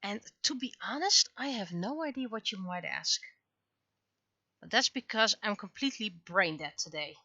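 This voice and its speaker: Casting: woman, bright tone, casual streamer chatting in halting bursts while she works, very dry close sound. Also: mid-range voice, expressive, no echo, dull audio. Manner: halting, thinking aloud. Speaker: livestreaming webcomic artist. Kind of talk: casual livestream chat while drawing.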